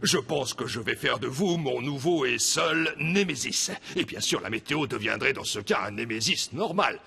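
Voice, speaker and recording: A man's voice: mid-range voice, expressive, normal speed, creaky, bright, forceful tone, slight background noise. A man playing a pompous, eccentric rich boss in a French-dubbed cartoon, making theatrical, grandiose declarations. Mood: indignant, grandiose.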